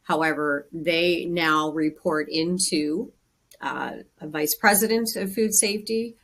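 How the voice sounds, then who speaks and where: A woman answering as a panelist on a webinar, conversational and relaxed, with frequent fillers. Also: deep voice.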